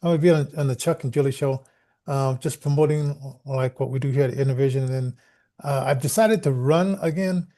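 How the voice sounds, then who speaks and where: A man's voice: mid-range voice, fairly quick, mellow. A man hosting a casual, unscripted show, talking in an informal, rambling way. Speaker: podcast host.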